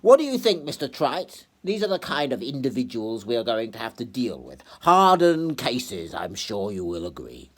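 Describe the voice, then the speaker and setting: A man playing a pompous, self-satisfied upper-class gentleman in a told story. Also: mid-range voice, wildly swooping pitch, moderate pace, few pauses.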